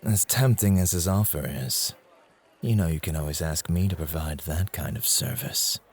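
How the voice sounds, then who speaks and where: A man playing a possessive, devoted club host in an ASMR roleplay, smooth-talking and condescending toward his rival. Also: very deep voice, moderate pace, a little mellow, full character voice. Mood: smug, coaxing. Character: possessive, devoted male club host named Roy, courting a guest.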